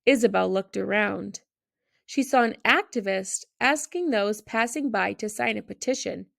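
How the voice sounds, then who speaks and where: Female children's storybook narrator: expressive read-aloud delivery, noticeable vocal fry, close dry sound. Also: medium pitch, unhurried, no echo.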